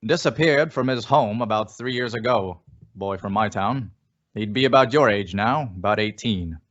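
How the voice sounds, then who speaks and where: A folksy former police chief from an old radio thriller, acted by a man speaks in a fairly low voice. He coaxes and insinuates, always persuading. The audio is slightly dull and lo-fi. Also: moderate pace, fairly bright tone, lightly acted. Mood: probing. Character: folksy, coaxing male former small-town police chief. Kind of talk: old-time radio suspense acting.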